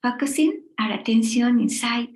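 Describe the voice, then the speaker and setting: A middle-aged woman from Spain with a Spanish accent, gently leading a meditation talk in short, unhurried phrases with pauses. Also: fairly high pitch, echoey room. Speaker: meditation teacher.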